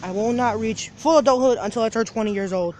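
A man's voice: high-pitched, fast talker, a little mellow. A seventeen-year-old young man vlogging outdoors by a parking lot, talking into a phone held at arm's length. He rambles, repeats himself and restarts his sentences. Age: teens. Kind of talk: casual daily vlog.

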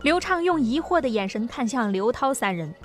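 A woman's voice: a little high, big pitch swings, normal speed, faint music behind. A woman narrator reading a scripted story in an audiobook style.

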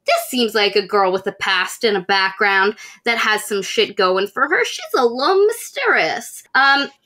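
A young woman giving a casual, comic book recap as a podcast co-host, animated and slipping into mock quotes. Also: mid-range voice, moderate pace. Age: young adult. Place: small room at home with bookshelves.

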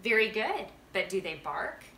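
A woman's voice: mid-range voice, very animated, measured pace, fairly bright tone, far from the mic. A woman reading the part of a kindly, wise storybook grandfather, patient, encouraging and emphatic. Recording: roomy home recording with background noise.

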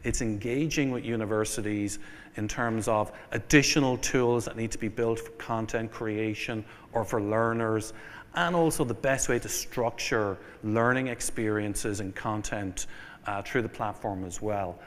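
An Irish man delivers a conference keynote in a lowish voice, working through his points with ums and restarts. His voice carries a long reverb from the hall around him. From Ireland. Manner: measured, listing points with fillers and restarts. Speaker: keynote speaker.

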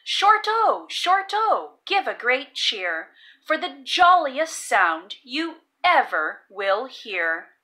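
Female read-aloud narrator for young children: very slow, hugely varied pitch, sing-song and deliberate, stressing key words. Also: big pitch swings, sharp tone. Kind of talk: expressive picture-book reading with phonics teaching.

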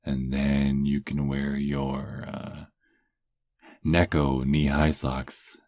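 A man playing a doting, possessive vampire lover in an intimate ASMR roleplay, murmuring softly and coaxingly. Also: a bass voice, monotone, very slow, no room sound, studio quality, full character voice. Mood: playful, attentive.

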